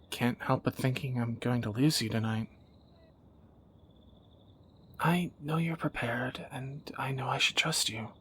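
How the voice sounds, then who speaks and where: A man playing a teasing, protective captor turned lover in a romantic fantasy audio roleplay, bantering and soft-spoken. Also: medium pitch, slight background noise, close to an everyday voice. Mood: worried, tender.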